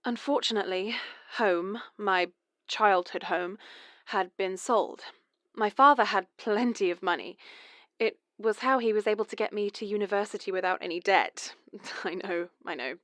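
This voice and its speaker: A mother calling in to tell her family's story, halting and confessional, as a woman plays her. Her voice sits mid-range and the sound is dry and close. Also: medium pitch, a bit bright, no echo, close to an everyday voice. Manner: confessional, hesitant, nervous laughs. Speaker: caller. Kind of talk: horror radio drama monologue.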